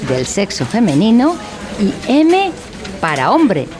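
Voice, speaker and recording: A woman's voice: medium pitch, slow pace. A woman playing an earnest, formal instructor in a satirical educational radio drama. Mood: deadpan, pedantic. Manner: didactic, deadpan, formal. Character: earnest female instructor of an absurd mock educational course.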